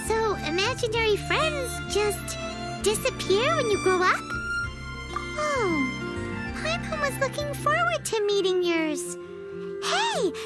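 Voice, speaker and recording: Teeny fairy sidekick for a game, super high squeaky, swoops everywhere, nosy and childish. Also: female voice, heavily acted. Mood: curious, puzzled. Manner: refers to herself by name, exclaiming and questioning. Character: small childish floating fairy companion, female, excitable and nosy. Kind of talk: video game voice acting.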